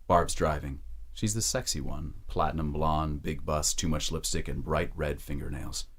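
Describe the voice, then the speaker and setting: An extremely deep male voice narrates an audio drama. He reads scripted scene descriptions in the present tense, as a storyteller. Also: steady tone, normal speed, fairly bright tone.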